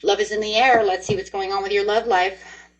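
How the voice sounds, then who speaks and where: A woman's voice: mid-range voice, fairly even intonation, a little fast, dull audio, a little hiss or hum. A woman in her forties giving a chatty, upbeat tarot card reading, speaking straight to her viewers. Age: 40s. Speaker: tarot reader.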